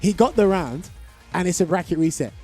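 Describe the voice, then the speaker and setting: Fast, excitable male esports commentator. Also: high voice, slightly rough, faint music behind. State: losing his voice.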